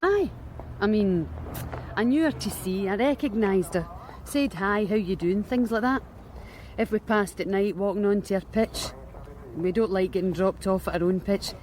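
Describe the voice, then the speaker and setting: An actress playing a blunt, streetwise young street worker with a Scottish accent in a radio drama, wry and matter-of-fact. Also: female voice, mid-range voice, moderate pace, darkish tone, a little hiss or hum, natural voice. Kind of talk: BBC radio drama acting.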